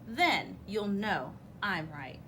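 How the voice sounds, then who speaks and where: A sleepy old male groundhog performed by a woman from a children's storybook. He grumbles dismissively, dragging out his words with wide rises and falls in pitch. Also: animated, slow, noisy background. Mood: sure of himself.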